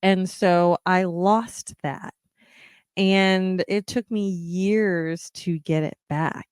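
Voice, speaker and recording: Podcast host, female, slow encouraging delivery, smooth voice, dry close mic. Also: lowish voice, steady tone, a little mellow, dry recording. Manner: earnest, encouraging, many ums. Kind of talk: reflective solo podcast talk.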